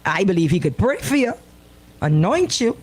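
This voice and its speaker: A woman's voice: mid-range voice, big pitch swings, moderate pace, darkish tone, slightly rough. A woman with a Bahamian accent hosting a radio show, chatting casually in a playful, teasing way. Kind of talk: casual banter and phone-in chat.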